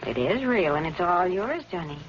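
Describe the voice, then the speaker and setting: A cool, ruthless femme fatale in a 1940s radio thriller. Her coaxing, insinuating voice slides widely in pitch. The old broadcast is muffled, with a faint hiss. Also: sing-song, moderate pace. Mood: seductive, teasing. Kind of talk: 1940s radio noir acting.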